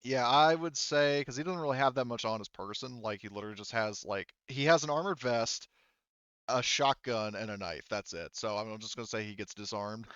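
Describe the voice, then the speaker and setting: A man narrates a tabletop game in a casual, halting way, thinking through rulings aloud. His voice is smooth and of medium pitch. The sound is dry and a little dull, like a modest microphone close by. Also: fairly bright tone, dry recording. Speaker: game master.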